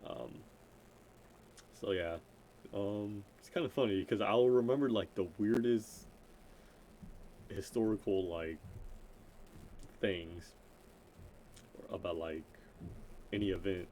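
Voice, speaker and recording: A deep-voiced young man thinking aloud in a solo gaming channel intro, hesitant and meandering, with faint noise in the background. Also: slow, pauses often, warm tone, poor audio. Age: young adult. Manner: rambling, hesitant, self-interrupting. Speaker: gaming vlogger introducing himself. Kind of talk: unscripted casual chat.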